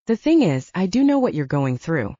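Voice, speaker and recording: A laid-back young werewolf fighter talking in a teasing, relaxed drawl, read by a woman. his voice swings up and down a lot and the tone is dark and mellow. the recording sounds dull and dry, like a close home setup. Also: on the low side, moderate pace, no echo. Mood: easygoing.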